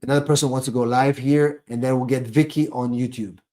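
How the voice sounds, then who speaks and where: A man's voice: medium pitch, fairly even intonation, brisk, dark tone. A man speaking off the cuff as an attorney hosting a live question-and-answer stream, emphatic and prone to repeating key phrases.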